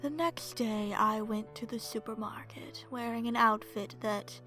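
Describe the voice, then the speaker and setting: A woman playing a seductive, smug and predatory vampire in an ASMR horror story, speaking in a sultry, breathy way that slips into whispers. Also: on the high side, a little slow, pushed and bright, soft background music. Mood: sultry scheming. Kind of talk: ASMR horror story narration in first person.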